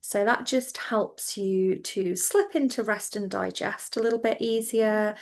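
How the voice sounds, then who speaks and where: A woman teaching yoga nidra, guiding listeners into relaxation in a gentle, reassuring, informal way. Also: mid-range voice, unhurried. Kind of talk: guided relaxation instruction.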